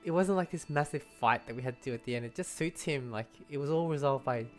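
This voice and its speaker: Male game streamer, casual chatty commentary, hesitant and rambling, soft music underneath. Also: medium pitch, fast.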